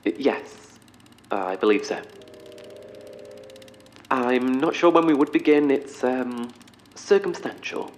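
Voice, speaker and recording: An actor playing an eccentric professor in an audio drama, speaking in a formal, wordy, slightly awkward corporate manner. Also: male voice, medium pitch, lively intonation, slight background noise. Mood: defensive, halting. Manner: formal, wordy, halting under pressure. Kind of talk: orientation film and meeting speech in audio drama.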